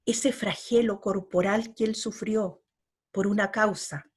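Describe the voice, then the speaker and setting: A middle-aged woman from Chile speaks slowly and earnestly as a poet answering interview questions. She often circles back and repeats words as she gathers her thoughts. Her voice has a breathy, husky edge and sits at an ordinary pitch. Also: fairly even intonation. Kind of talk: reflective interview talk.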